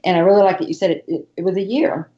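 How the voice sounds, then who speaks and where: A woman hosting a casual interview, encouraging and quick to laugh, with rambling restarts. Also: on the low side, steady tone, reverberant, dull audio. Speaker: podcast host.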